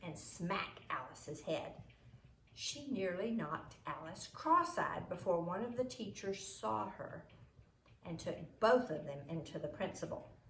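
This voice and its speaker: A woman reading a children's book aloud as a storyteller, in an expressive way. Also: on the low side, measured pace, creaky. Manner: expressive, acts out the dialogue. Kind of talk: storybook read-aloud narration. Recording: roomy echo, noisy home recording.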